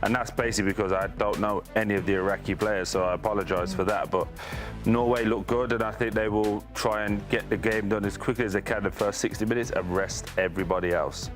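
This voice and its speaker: A man on a studio panel giving a measured, matter-of-fact prediction. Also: lowish voice, fairly even intonation, music in the background. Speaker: studio pundit.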